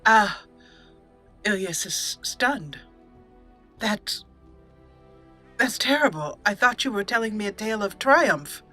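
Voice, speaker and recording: A woman hosting a video game let's play, giving in-character roleplay commentary in a dramatic, deliberate way with pauses. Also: medium pitch, lively intonation, sharp tone, soft background music.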